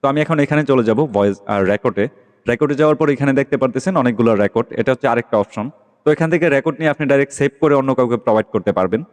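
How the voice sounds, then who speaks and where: A man's voice: mid-range voice, racing. A man giving a casual tutorial voice-over as host, explaining step by step and often checking that listeners follow. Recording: long reverberant echo.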